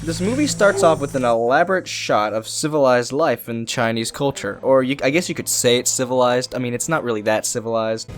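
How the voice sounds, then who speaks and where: A man's voice: mid-range voice, brisk, hardly any pauses, faint music behind. A young man narrating a mocking comedic review of a bad movie. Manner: sarcastic, mocking. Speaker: video reviewer.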